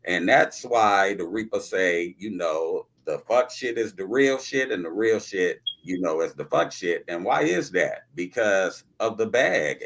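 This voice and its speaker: A man's voice: low voice, fairly bright tone. A man speaking as a podcast guest in a preachy, repetitive, casual debating style. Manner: preachy, repetitive, refers to himself in third person.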